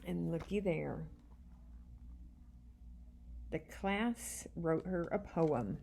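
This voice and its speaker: A woman teacher reading a children's storybook aloud in an expressive, deliberate way, with little asides to her listeners. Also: lowish voice, creaky, a little hiss or hum.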